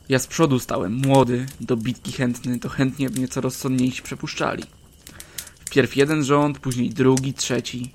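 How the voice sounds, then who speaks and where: A man's voice: mid-range voice, slight background noise, natural voice. A man playing a weathered old war veteran. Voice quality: measured, archaic storyteller's delivery. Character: aging male war veteran telling tales to travelers. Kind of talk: first-person storytelling in old-fashioned speech.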